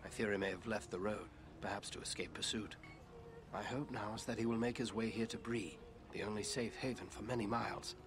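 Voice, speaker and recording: An actor playing a grave, watchful ranger chieftain in a fantasy game, speaking in a measured, earnest way. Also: male voice, on the low side, steady tone, music in the background, lightly acted. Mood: worried resolve.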